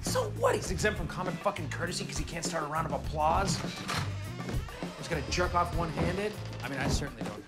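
An actor playing a crude, hotheaded middle-aged man who swears constantly and rants excitably, in a film comedy with score behind him. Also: a little high, a little fast, long pauses. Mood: scornful. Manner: foul-mouthed, ranting, excitable. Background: film score music.

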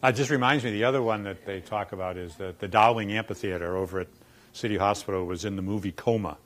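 A man in his sixties from Boston, with a Boston accent, telling wry stories in a relaxed lunchtime talk in a lecture room. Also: fairly low pitch, quick pace. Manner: wry storyteller, quoting people with punchlines. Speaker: former dean giving a talk. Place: dark lecture room in front of chalkboards.

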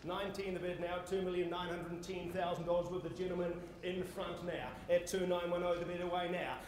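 High-pitched Australian male auctioneer, very echoey. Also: flat delivery, fairly quick. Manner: theatrical, projecting to the room, formally courteous. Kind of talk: live property auction calling. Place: on stage in a large indoor conference hall. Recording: echoey conference hall with noise.

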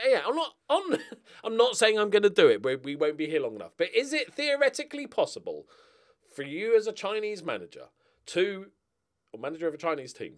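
A middle-aged British man giving casual, rambling, jokey gameplay commentary, thinking aloud as he swings between muttering and exclaiming. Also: fairly high pitch. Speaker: gaming streamer playing a football management game.

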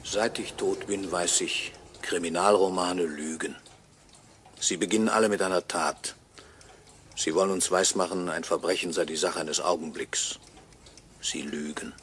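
A man playing a grave, brooding dead narrator of a radio murder mystery, measured and ominous. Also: low voice, fairly even intonation, fairly bright tone, a little hiss or hum. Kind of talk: dramatic radio play narration.

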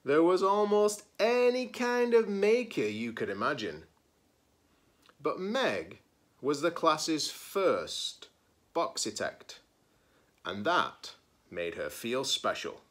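A man's voice: fairly high pitch, very animated, slow pace. A man in his forties, a teacher-librarian, reading a children's picture book aloud expressively, stressing key words and adding casual asides.

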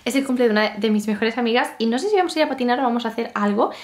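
A woman's voice: fairly high pitch, rapid-fire, soft background music. A woman in her twenties with a Castilian Spanish accent, chatting casually and expressively to camera as a vlogger. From Spain. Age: 20s. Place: parked car and a bedroom.

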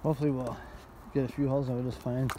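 A man's voice: medium pitch, flat delivery, racing, heavy background noise. A man in his forties filming himself outdoors on a frozen lake with a phone, chatting casually and cheerfully to his viewers. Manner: upbeat, chatty, talks to viewers as guys. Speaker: magnet fishing vlogger. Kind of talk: casual vlog chat. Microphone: phone camera held by the speaker.

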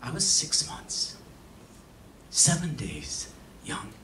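Slow, solemn man in a dramatic reading. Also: on the high side, huge pitch swings, bright, forceful tone. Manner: solemn, theatrical, with long pauses. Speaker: stage performer giving a reading. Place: stage in an auditorium. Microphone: stand microphone heard from a distant camera. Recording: echoey hall, distant camera, faint noise.

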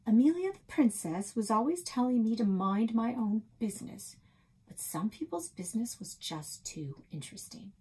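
A middle-aged American woman reading a story aloud in a slightly husky voice. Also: fairly high pitch, lively intonation, moderate pace, warm tone, low-quality recording. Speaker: librarian reading a children's novel aloud. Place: small indoor office.

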